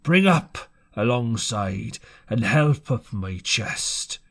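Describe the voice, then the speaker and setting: A gruff old seaman from an audiobook adventure, acted out by a male narrator. He talks slowly and roughly in blunt sailor speech, at a medium pitch. He sounds like someone who expects to be obeyed. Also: expressive, exaggerated voice. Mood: blunt, commanding. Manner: rough, commanding sailor talk. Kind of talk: character voice in audiobook.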